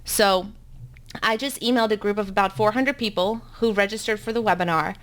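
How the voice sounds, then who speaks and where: A woman's voice: medium pitch, brisk, a bit bright. A woman in her thirties from South Africa, Afrikaans her first language, with a South African accent, teaching bloggers in a chatty, upbeat live webinar from home, with frequent ums.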